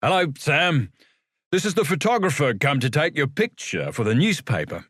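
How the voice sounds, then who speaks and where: A courteous young newspaper photographer, as a man reading a story puts him on, speaks briskly and politely. His voice sits a little higher than a plain reading voice. The recording is close and dry. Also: sing-song, fairly bright tone, dry recording.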